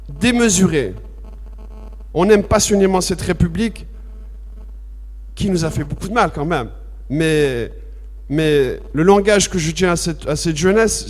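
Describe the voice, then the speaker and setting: Slow-talking guy telling his story at a debate, stops and repeats himself, gets all fired up, big hall echo. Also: high-pitched, a little mellow. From France. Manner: halting and repetitive, grows impassioned and emphatic. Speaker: association president speaking at a public debate. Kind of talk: personal testimony at a public debate. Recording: long reverb of a large hall.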